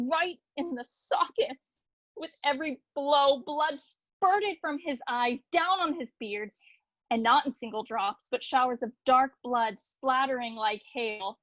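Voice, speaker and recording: High-voiced, horrified palace messenger played by a woman. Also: dry recording, low-quality recording. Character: horrified palace messenger reporting gruesome deaths. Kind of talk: dramatic theatrical narration of Greek tragedy.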